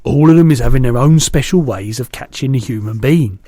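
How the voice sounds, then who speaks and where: A man reading aloud and voicing a big, kindly male giant who mangles his words, in a louder and higher voice than his own. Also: a little fast, no echo, clean studio sound, close to an everyday voice. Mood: earnest. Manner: jumbled made-up words, booming and eager.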